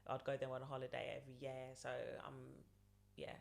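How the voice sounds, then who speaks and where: A woman's voice: rumbling low, completely flat delivery, a little fast, gravelly. A woman in her late thirties talks as a podcast guest. She wanders through her answers, circling back and repeating phrases with plenty of fillers. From London, England. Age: 30s. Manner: rambling, repeats herself, many fillers. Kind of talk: casual interview answers.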